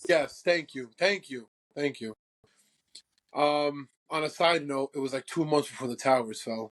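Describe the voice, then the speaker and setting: Brash, boastful young podcast host. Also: male voice, a little high, measured pace, low-quality recording. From Croatia. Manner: brash, boastful, swears freely. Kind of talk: casual sports chat.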